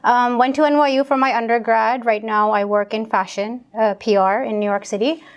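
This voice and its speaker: A woman's voice: on the high side, fairly even intonation, fairly quick. A young woman from Pakistan, a guest author, talking casually in an interview with many fillers and restarts.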